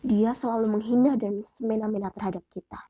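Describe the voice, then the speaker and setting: A woman's voice: a little high, steady tone, brisk, husky, dry recording, muffled recording. Woman voicing an earnest, kind storybook donkey. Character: meek, kind donkey of a fable.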